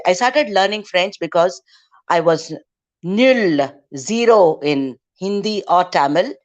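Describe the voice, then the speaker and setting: Woman teacher quizzing students online. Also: on the low side. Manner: quizzing, laughs often, hesitant fillers. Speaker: guest French teacher. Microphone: video call. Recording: dull lo-fi call audio.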